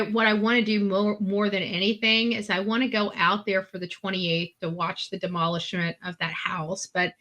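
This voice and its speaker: A woman's voice: mid-range voice, sharp tone. A woman in casual, opinionated discussion as a guest on a stream, speaking bluntly and emphatically, repeating herself for force. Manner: blunt, emphatic, repetitive, swearing. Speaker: guest panelist on a true-crime stream.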